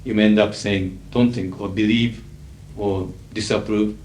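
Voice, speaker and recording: A middle-aged man with a Japanese accent, a native Japanese speaker, lecturing as an interpreter in a measured, emphatic way with frequent fillers. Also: lowish voice, fairly even intonation, a little mellow. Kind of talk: lecture to an audience. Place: lecture hall. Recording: echoey 1980s hall recording with faint hiss.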